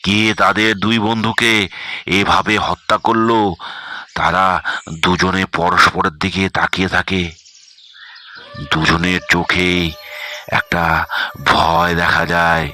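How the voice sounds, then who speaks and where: A man's voice: low-pitched, little pitch movement, takes it slowly, long pauses, bright, forceful tone. A man narrating a scripted horror story as its storyteller.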